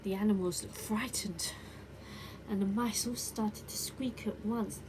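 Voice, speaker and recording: A woman reading a children's bedtime story expressively, with deliberate dramatic emphasis. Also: medium pitch, fairly even intonation. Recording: clear background noise.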